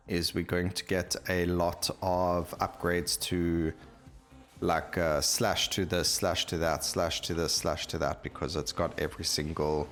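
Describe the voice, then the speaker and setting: A man with a low-pitched voice gives casual gameplay commentary over music. He thinks aloud, talking through each choice as he plays. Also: a bit bright. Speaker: gaming YouTuber. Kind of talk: casual video game commentary.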